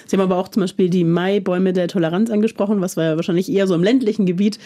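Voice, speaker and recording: A woman's voice: mid-range voice, fairly even intonation, racing, dark tone. A woman interviewer asking long, conversational questions with small hesitations and restarts. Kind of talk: radio-style interview questions.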